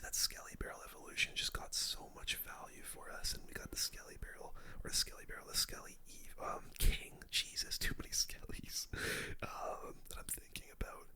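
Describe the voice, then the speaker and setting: Asmr gamer whispering play by play, super bright hissy whisper, chill slangy talk. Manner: whispering throughout. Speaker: gaming video host. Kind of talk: whispered ASMR game commentary.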